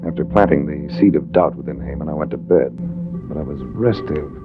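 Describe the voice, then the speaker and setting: A man's voice: a bass voice, fairly quick, music in the background. A man acting a suave, hard-boiled adventurer in a 1950s radio drama, with a wry, cool, tough-talking manner. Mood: wry narration. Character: suave, hard-boiled male adventurer narrating his own case. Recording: muffled, hissy vintage radio recording.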